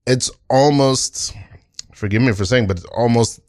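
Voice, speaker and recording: A man's voice: medium pitch, normal speed, darkish tone, no echo, studio quality. A man hosting a podcast, interviewing in a casual, chatty style. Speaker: podcast host.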